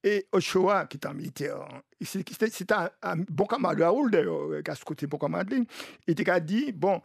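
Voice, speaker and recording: High, creaky Martinican man hosting radio. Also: lively intonation, fairly quick, no room sound, clean studio sound. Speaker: radio host. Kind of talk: live radio discussion and interviewing.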